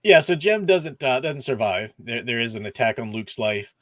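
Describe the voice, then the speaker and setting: A man's voice: mid-range voice, lively intonation, normal speed, dry recording, studio quality. Casual man hosting a fan discussion. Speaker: host of a book discussion stream. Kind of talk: casual discussion and plot recap.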